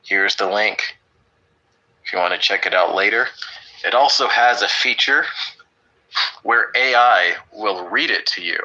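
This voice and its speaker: A man with a deep voice teaching an online English class, upbeat and teasing with his students. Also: a little slow, long pauses, sharp tone, muffled recording.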